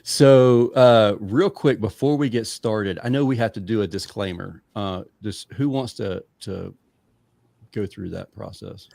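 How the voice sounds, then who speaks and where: A man's voice: fairly low pitch, a little slow, gravelly, darkish tone, no room sound. A man hosting a podcast, chatting loosely and jokingly with lots of fillers. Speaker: podcast host. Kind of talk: casual interview chat.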